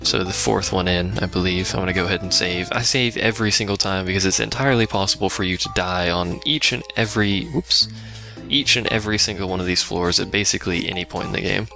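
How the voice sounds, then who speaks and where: A man's voice: sits low, quick pace. A man giving casual, rambling gameplay commentary with dryly sarcastic asides, as a let's play host. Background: game music and sounds.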